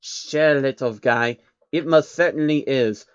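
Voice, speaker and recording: A man's voice: mid-range voice, slow pace, clear, resonant tone, highs cut off, lightly acted. A man voicing a menacing older male banker villain in a fan storybook reading, speaking with venom and threats. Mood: sinister.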